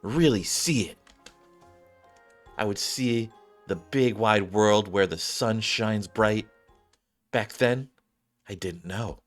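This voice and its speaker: A man's voice: lowish voice, slow, pauses often, soft background music. A man reading scripted game narration as a wistful, earnest young dreamer telling their own story. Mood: earnest, rueful.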